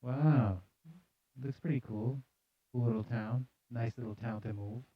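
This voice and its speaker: A man's voice: mid-range voice, fairly even intonation, measured pace, no echo. Sardonic man commenting on news, muffled sound. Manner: sardonic, jokey, slangy. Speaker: host of a live news show. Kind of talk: casual commentary while reading news articles aloud.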